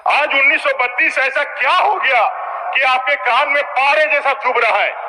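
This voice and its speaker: A male opposition legislator speaks in a reverberant chamber, his voice very high and piercingly sharp. He delivers a forceful, accusatory political speech built on pointed rhetorical questions. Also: moderate pace. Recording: reverberant assembly hall sound system.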